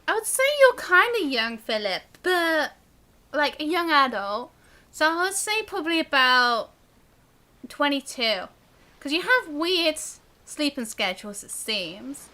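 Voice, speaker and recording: A woman's voice: high-pitched, pushed and bright. A young woman chatting casually on a live game stream in a rambling, giggly way. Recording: game music faintly behind.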